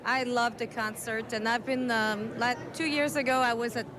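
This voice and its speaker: Halting woman with a French accent. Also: a little high, steady tone. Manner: halting, searching for words. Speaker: concertgoer. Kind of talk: vox pop reaction. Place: outside a concert arena. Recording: crowd noise outdoors.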